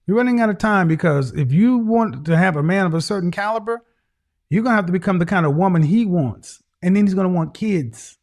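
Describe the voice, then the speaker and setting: A man with a fairly high voice lays into a caller with blunt, lecturing advice, repeating his points for weight. He sounds middle-aged and talks over a video call. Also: a little fast, darkish tone. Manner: harsh, lecturing, repeats phrases. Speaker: host.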